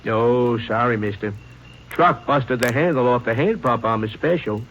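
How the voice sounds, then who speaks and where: An actor playing a chatty rural gas station owner in a 1940s radio drama. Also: male voice, mid-range voice, moderate pace, fairly bright tone, highs cut off, natural voice. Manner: chatty, homespun. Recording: old radio recording with hiss.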